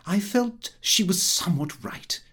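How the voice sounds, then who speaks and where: A man reading a comic first-person diary as an audiobook, in a prim, expressive, self-important manner. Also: high-pitched, a little slow, husky. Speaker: audiobook narrator.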